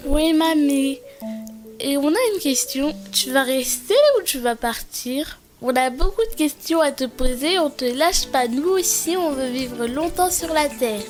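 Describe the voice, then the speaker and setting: An earnest, pious little cartoon girl, voiced by a woman, with a high voice. She sounds eager to learn from her grandmother, with faint music behind her. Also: a little slow. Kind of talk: cartoon dialogue and scripture recitation.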